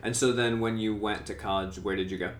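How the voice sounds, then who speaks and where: Low-voiced male podcast host, echoey room. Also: steady tone. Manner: halting, restarts his questions. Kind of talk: casual interview questions.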